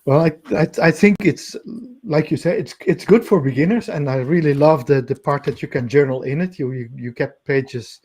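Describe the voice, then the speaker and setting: A man with a slightly higher voice co-hosts a conversational interview. He talks eagerly but haltingly, stopping and restarting as he goes, and he laughs easily between his phrases. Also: darkish tone, husky. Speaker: co-host interviewer.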